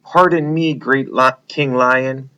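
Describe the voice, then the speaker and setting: A man's voice: mid-range voice, fairly even intonation, slow pace, pushed and bright, clear, resonant tone. A man voicing a small, timid but brave female mouse who speaks politely and pleads, in a storybook read-aloud. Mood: pleading. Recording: dull lo-fi recording.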